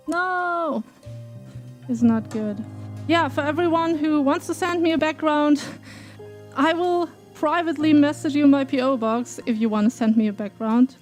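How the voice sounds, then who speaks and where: A woman's voice: high voice, darkish tone. A German woman streaming live from her home kitchen while baking, chatting casually with viewers and laughing often. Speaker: cooking streamer.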